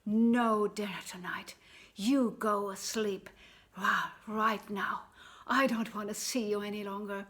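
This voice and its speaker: A woman's voice: medium pitch, steady tone, slow, a bit bright. A woman voicing an emotional, scolding mother in a fairy tale, with gasps and dramatic exclamations. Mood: stern, hushed anger.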